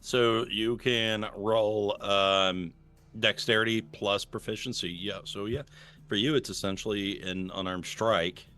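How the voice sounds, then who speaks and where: A man running a tabletop game as game master, narrating with relaxed chuckles. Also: lowish voice, unhurried, clean tone.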